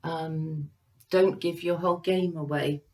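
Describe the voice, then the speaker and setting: Slow-spoken woman giving a tarot reading. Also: low-pitched, steady tone. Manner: slow, halting, with many ums and pauses; gentle, reassuring. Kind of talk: unscripted tarot reading for viewers.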